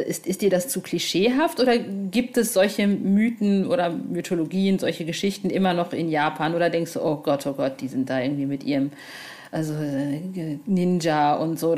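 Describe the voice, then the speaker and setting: A woman's voice: fairly low pitch, moderate pace, darkish tone. A woman hosting a casual podcast interview, chatting in an informal, enthusiastic way.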